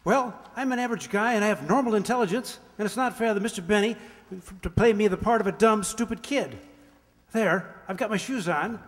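A man's voice: high voice, brisk, close to an everyday voice. A man playing a naive, obedient and earnest young son in an old-time radio comedy. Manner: earnest, guileless. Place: amateur stage reading in a hall. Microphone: stand microphone. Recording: echoey stage in a large hall.

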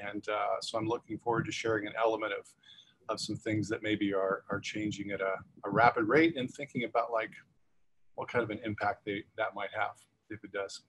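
A man's voice: medium pitch, a little hiss or hum. A man in his fifties, an earth science professor, introducing himself thoughtfully and trailing off on a video call. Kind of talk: panel self-introduction. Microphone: webcam on video call.

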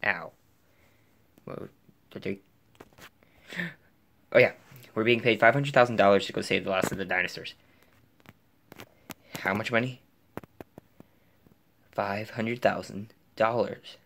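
A man's voice: fairly low pitch, unhurried, gravelly, long pauses, a bit bright. A young man in a bedroom voicing several plush toys in an improvised skit, often dropping to a whisper. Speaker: plush puppeteer voicing toy figures. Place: bedroom floor and desk, indoors.

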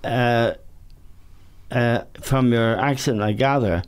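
An older Irish man hosting, reading a measured introduction and asking questions. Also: mid-range voice, clean tone. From Ireland. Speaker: interviewer, host. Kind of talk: scripted intro then interview questions.

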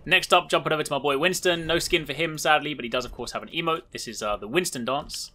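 A man's voice: on the high side, fast, bright, forceful tone. A man hosting a gaming video, giving chatty, informal, enthusiastic showcase commentary.

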